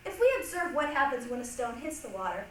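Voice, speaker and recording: A woman reciting on stage as a reader's theatre performer, with expressive, dramatic delivery. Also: a little high, fast. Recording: echoey hall from far off, faint noise.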